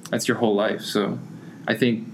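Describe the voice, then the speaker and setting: A man's voice: on the low side, little pitch movement, normal speed, a little hiss or hum. Rambling young man full of filler words. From United States. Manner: rambling, heavy use of "like" fillers. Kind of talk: casual conversation.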